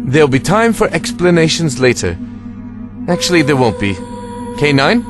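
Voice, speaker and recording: A commanding, ruthless time traveler, played by a man in sci-fi audio drama. His fairly high voice swings dramatically up and down. He is brisk and theatrical, with music behind him. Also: very animated. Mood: smug, brisk. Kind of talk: science-fiction audio drama acting.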